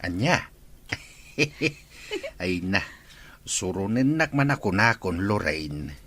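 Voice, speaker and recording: Lalaking gumaganap bilang mababang boses, taimtim na lalaki. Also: male voice, low-pitched, big pitch swings, takes it slowly, fairly bright tone, exaggerated voice. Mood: teasing, amused. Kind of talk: Ilocano audio drama acting.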